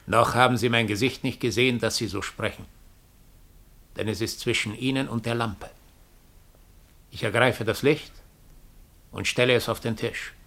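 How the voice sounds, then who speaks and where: An actor playing an eerie doppelganger and confessed murderer, speaking in a solemn, measured, declamatory way in 1960s German radio drama style. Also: male voice, medium pitch, steady tone, a bit bright, natural voice. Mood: calm, commanding.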